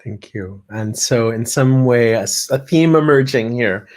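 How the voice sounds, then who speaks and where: Man moderating, halting delivery. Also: mid-range voice, a little mellow. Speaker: host, panel moderator. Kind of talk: moderating a live-streamed panel.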